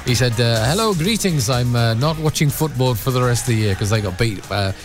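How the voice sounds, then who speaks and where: A man's voice: medium pitch, lively intonation, brisk, faint music behind. A man presenting local radio, chatty and jokey, rambling with restarts. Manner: jokey, rambling, self-interrupting.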